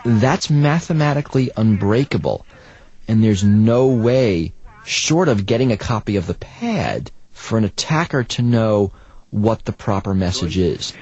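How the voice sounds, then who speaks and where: A man's voice: on the low side, expressive, unhurried, a little mellow, slightly rough, no echo, lo-fi sound. A man giving explanatory interview answers as an expert.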